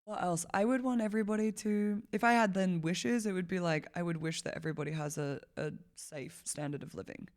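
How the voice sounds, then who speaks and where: A woman from Malawi talks as a podcast guest with a gravelly, fry-laden voice. She rambles casually, leaning on "like" as filler and laughing now and then. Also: fairly low pitch, brisk, few pauses, darkish tone. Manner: rambling, heavy use of "like" fillers, laughs often. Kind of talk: casual conversational interview.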